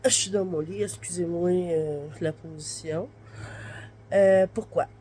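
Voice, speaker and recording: A woman's voice: fairly low pitch, steady tone, measured pace, dark tone, slight background noise. A woman in her thirties with a Québécois accent, chatting casually in a home vlog from her bed, her voice stuffy and congested from the flu, rambling with lots of fillers. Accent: Québécois French. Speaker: vlogger. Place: her bedroom, lying in bed.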